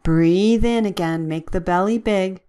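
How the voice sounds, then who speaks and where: A woman leading a guided relaxation with gentle, soothing, measured instructions and long pauses. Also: mid-range voice, clear, resonant tone.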